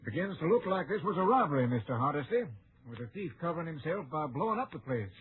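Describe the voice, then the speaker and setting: A businesslike frontier marshal in a radio western. His voice is highish and lively, brisk in manner. The recording is tinny and vintage. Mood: grave. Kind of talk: old-time radio western acting.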